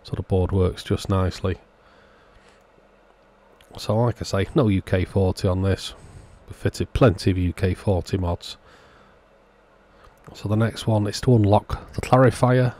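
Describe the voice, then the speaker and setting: A man hosts an informal repair narration in a fairly deep voice. His tone is dark and relaxed, with no sharp edge. He explains each step in a plain, matter-of-fact way. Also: a little slow, pauses often. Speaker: host of a radio repair channel. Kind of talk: informal scripted-sounding voice-over narration of a repair.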